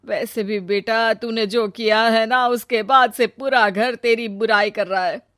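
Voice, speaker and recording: A woman's voice: fairly high pitch, steady tone, measured pace, a bit bright, no echo, studio quality. A woman playing a protective middle-aged mother in a story, colloquial, emphatic and blunt. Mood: resigned.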